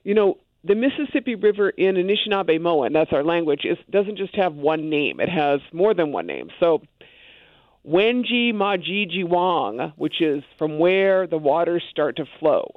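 A man with a somewhat high voice talks through a tinny, thin phone line. His speech is loose and conversational and full of asides. The sound is dry and close. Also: lively intonation, unhurried, no room sound. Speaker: guest. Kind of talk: casual conversation on a radio show.

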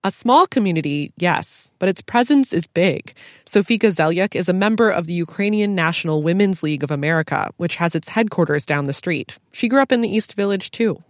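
A woman reporter narrating a news feature in a measured, even way. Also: fairly low pitch, no echo.

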